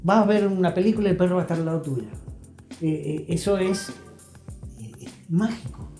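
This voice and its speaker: A man's voice: sits high, fairly quick, mellow. A man with an Argentine accent giving advice conversationally as an interview guest, emphatic and repeating phrases for effect. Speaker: interview guest, health professional. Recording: roomy echo, music behind.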